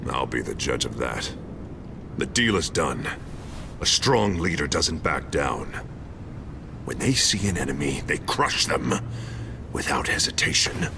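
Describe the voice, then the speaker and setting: An actor playing a self-righteous, menacing and unstable city mayor, with a gravelly voice and grandiose delivery in a video game drama. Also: male voice, rumbling low, big pitch swings, measured pace, long pauses, noisy background, heavily acted. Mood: cold, resolute. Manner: grandiose, forceful declarations.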